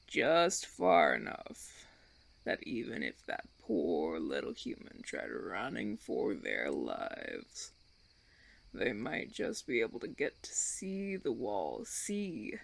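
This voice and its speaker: Man acting a gravelly, smug, menacing male vampire. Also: on the low side, full character voice. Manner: theatrical, taunting, drawn-out phrasing with dramatic pauses. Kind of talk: ASMR audio roleplay acting.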